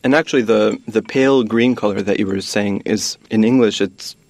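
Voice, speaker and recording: A man's voice: fairly low pitch, normal speed, creaky, a little mellow, dry recording. A man in his twenties from the United States, a guest in a casual chat, explaining things in a friendly way and laughing often. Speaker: guest, graduate student.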